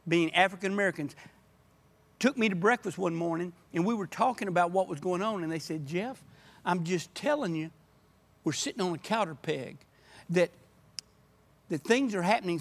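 Older man, high-pitched, passionate and emphatic, guest in a church podcast chat. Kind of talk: earnest conversation. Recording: podcast audio.

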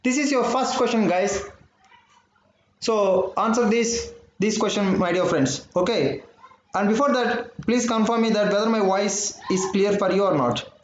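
A man's voice: sits high, a little slow. A man with an Indian English accent teaching a live online math class. He repeats phrases and keeps asking whether his listeners understood. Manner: repeats phrases, keeps saying "my dear friends" and "understood or not". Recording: dull, roomy audio with faint noise.

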